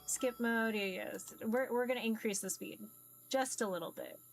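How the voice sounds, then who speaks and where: A woman's voice: a little high, moderate pace, soft background music. A woman chatting casually and playfully as she streams a game, reacting aloud. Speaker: streamer playing a visual novel. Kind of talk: casual gaming stream chat.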